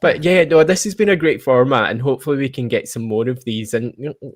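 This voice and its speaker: A Scottish man hosting a casual live chat in an excitable, chatty manner. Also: medium pitch, quick pace, no echo, clean studio sound. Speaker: podcast host and audio drama producer.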